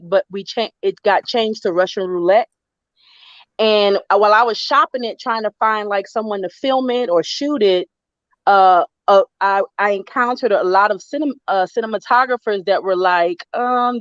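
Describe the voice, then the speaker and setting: A woman's voice: mid-range voice, dry recording, dull audio. Chatty middle-aged woman on a call. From Florida. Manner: chatty, many restarts and fillers, laughs often. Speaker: guest writer. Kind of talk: casual interview chat. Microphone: video call.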